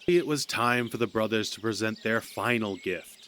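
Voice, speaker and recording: A man's voice: fairly low pitch, moderate pace, fairly bright tone. A man narrating a scripted story in a dramatic storytelling style. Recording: amateur recording with background noise.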